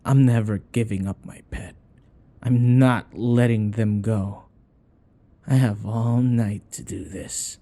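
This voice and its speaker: A man's voice: fairly low pitch, fairly even intonation, takes it slowly, mellow. A man playing a jealous, possessive vampire lover in an ASMR roleplay, with a weary, drawling delivery. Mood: defiant, possessive.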